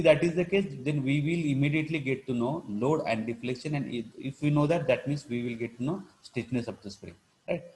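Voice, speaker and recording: A male lecturer with an Indian accent and a medium-pitched voice. He explains technical steps in a live online class, in a halting, repetitive manner. He often tags phrases with small check-in words. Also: darkish tone, low-quality recording. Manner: halting, repetitive, punctuated with check-in words like "right" and "you know". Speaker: engineering lecturer.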